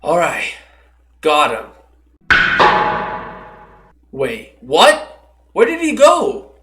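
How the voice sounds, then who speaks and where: A man's voice: a little high, wildly swooping pitch, very slow, very noisy, full character voice. A man voicing a determined young card duelist in an anime-style roleplay, declaiming his lines. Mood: puzzled. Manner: declamatory, announcing attacks. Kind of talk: animated anime-style battle roleplay acting. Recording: loud background music.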